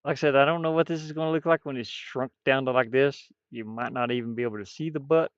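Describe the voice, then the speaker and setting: Guy streaming while he draws, rambly and muttery, gets loud in bursts, dry close sound, bit muffled. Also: medium pitch, fairly even intonation, fast, smooth, dry recording. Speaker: streamer drawing digital art. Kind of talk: casual chat while drawing on a livestream.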